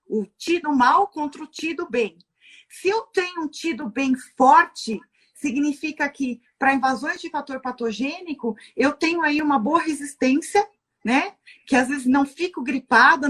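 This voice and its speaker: Brazilian lady explaining stuff like a teacher on a live stream, pretty high voice, keeps asking rhetorical questions. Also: a bit bright. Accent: Brazilian Portuguese. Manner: teacherly, asks herself rhetorical questions, tags sentences with "né?". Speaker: guest lecturer on Chinese medicine. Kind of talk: explanatory talk from a livestream.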